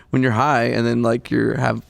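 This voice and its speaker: A young man with a gravelly, fry-heavy voice co-hosting a podcast. He tells jokey stories in relaxed chat, and the recording is dry and close with no room sound. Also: medium pitch, no echo. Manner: joking storyteller, laughs often. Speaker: podcast co-host. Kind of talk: casual chat.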